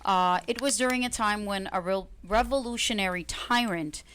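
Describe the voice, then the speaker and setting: A woman from Iraq speaking as a radio panel guest, recounting history in a deliberate, serious manner. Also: medium pitch, a bit bright, a little hiss or hum.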